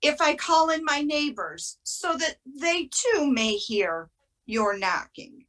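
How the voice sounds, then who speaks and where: Woman playing an animated, earnest old-time housewife. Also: high voice, slow pace, very noisy, full character voice. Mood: imploring. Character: earnest 19th-century household woman calling on a spirit. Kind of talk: amateur stage play reading.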